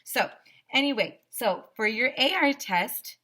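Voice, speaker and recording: A woman's voice: a little high, animated, a little slow, pushed and bright, breathy edge. A woman teacher reading a children's story expressively and chatting directly to young listeners. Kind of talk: expressive children's story read-aloud and chat.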